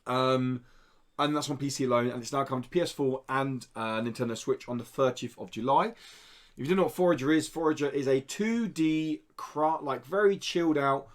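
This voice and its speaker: A man with a mid-pitched voice hosts a casual podcast. He presents news items in a conversational, chatty way. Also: brisk.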